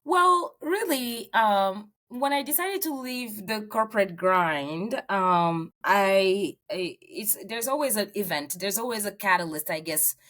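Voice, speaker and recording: A woman's voice: medium pitch, expressive, a little slow, hardly any pauses, a bit bright. A middle-aged woman from Senegal, a real estate agent answering interview questions in earnest storytelling with fillers.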